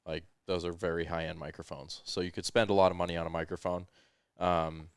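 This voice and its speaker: Man, very low voice, smooth and resonant, casual rambling tech talk, headset mic. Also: brisk, no echo, studio quality. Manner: relaxed, rambling explanations with frequent ums and uhs. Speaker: podcast host. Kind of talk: casual explanatory tech chat. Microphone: headset microphone with headphones.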